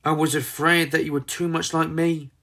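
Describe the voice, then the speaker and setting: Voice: mid-range voice, little pitch movement, normal speed, fairly bright tone. A storybook narrator voicing a determined mother hen, an earnest and protective leader. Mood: remorseful.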